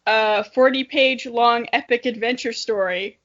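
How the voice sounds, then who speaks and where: A woman's voice: a little high, steady tone, measured pace, a bit bright, lo-fi sound. A woman co-hosting a podcast in casual chat, telling stories in a jokey way with some casual swearing. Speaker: podcast co-host.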